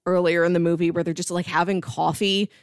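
A woman's voice: lowish voice, flat delivery, a little fast, clear, resonant tone, no echo, clean studio sound. A woman co-hosting a podcast, casually discussing films in an enthusiastic, analytical way with frequent emphasis. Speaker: podcast co-host.

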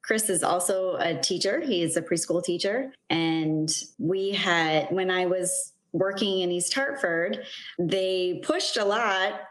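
Friendly woman guest who laughs easily. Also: on the low side. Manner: friendly, laughs often, storytelling. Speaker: interview guest. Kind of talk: casual conversational interview.

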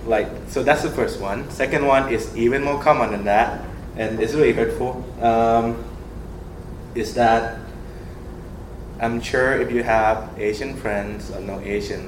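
A young man with a Thai accent speaks earnestly and animatedly, with a fairly low voice. He is sharing experiences in a group and fills his talk with "like" and "um". The recording is echoey, with clear ambient noise behind him. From Thailand. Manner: earnest, animated, laughs often, many fillers. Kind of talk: personal sharing in a group discussion. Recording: roomy echo with clear background ambience.

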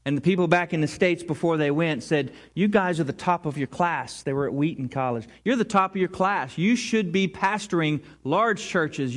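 A man preaching a sermon to his congregation, earnest and rising to emphatic peaks. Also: sits high. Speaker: pastor.

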